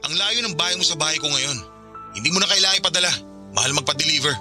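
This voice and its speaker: A man's voice: on the high side, very fast, music in the background, lightly acted. An actor playing a firm, exasperated young father in a radio drama, curtly refusing his former partner. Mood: curt, guarded. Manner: curt, firm.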